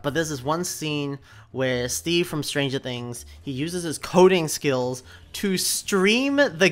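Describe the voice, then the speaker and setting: A young man narrating a video review of a film as a voice-over, talking in an animated, incredulous way with little laughing asides. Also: a little high. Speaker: YouTube commentator. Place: voice-over over gameplay footage.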